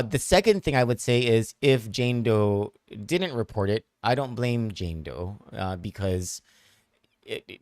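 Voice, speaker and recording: A man's voice: mid-range voice, no room sound, studio quality. A man, hosting a news analysis show and answering viewer questions in a thoughtful, hesitant way full of fillers and restarts. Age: 40s.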